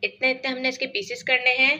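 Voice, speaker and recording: A woman's voice: high voice, fairly even intonation, fast, sharp tone. Chatty woman explaining a home recipe. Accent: Pakistani Urdu. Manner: chatty step-by-step explaining, frequent filler phrases. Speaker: cooking channel host. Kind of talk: casual cooking demonstration. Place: home kitchen.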